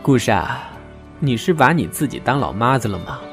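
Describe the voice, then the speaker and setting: A man's voice: medium pitch, very animated, normal speed, gravelly, a bit bright, faint music behind. A man playing a prickly, curt young academic with a grumbling manner in an audio drama. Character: prickly, curt young academic, secretly fond of his boyfriend.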